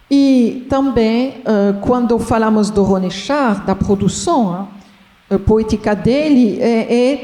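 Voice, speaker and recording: Woman giving a slow careful lecture, kinda high mellow voice, big echoey hall. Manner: hesitant, with frequent pauses and "eh" fillers. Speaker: conference speaker. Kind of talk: academic lecture. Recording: echoey hall with long reverb.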